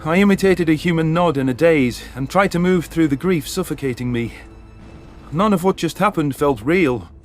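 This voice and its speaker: A man's voice: a little high, moderate pace, soft background music. A man narrating a dramatic first-person science fiction story as an audiobook.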